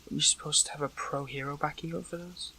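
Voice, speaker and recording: A man playing an earnest, hurt captive superhero in an audio roleplay, speaking in halting, pleading phrases. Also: fairly high pitch, steady tone, bright, forceful tone, slight background noise, poor audio. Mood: shocked, hurt. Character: captive male superhero, earnest, hurt, questioning an old friend. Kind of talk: dramatic audio roleplay acting, one side of a dialogue.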